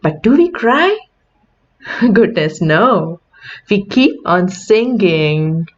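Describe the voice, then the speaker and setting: A woman reads a children's picture book aloud at an unhurried pace. Her pitch moves up and down in an animated way, with a teacherly delivery. The recording sounds a little dull and lo-fi. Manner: deliberate teacherly delivery with questions and counting. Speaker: children's read-aloud host.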